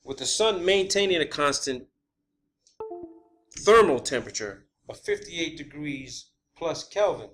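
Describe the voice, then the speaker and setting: A man hosting an informal theorizing monologue, speaking in a halting, repetitive and emphatic way with blunt wording. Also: on the high side, lively intonation, a bit bright, faint music behind. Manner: blunt, swearing. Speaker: video host.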